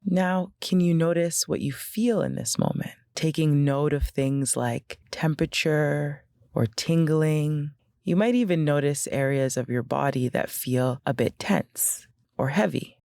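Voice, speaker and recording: A woman leading a guided meditation in a gentle, unhurried, instructional way with pauses between phrases. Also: low voice, creaky, no room sound, studio quality.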